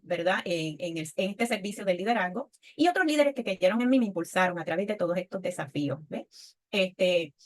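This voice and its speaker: An older woman with a Puerto Rican accent gives a reflective personal testimony on a panel, often checking in with short tag questions. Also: on the high side. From Puerto Rico. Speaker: panelist, women's leadership speaker.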